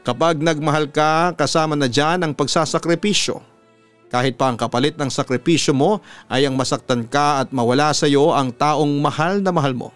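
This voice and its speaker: A Filipino man narrating a sentimental letter as a story host, in an earnest, measured announcer's delivery. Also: fairly high pitch, clean tone. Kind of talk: dramatic reading of a listener's letter, sentimental narration. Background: music under the voice.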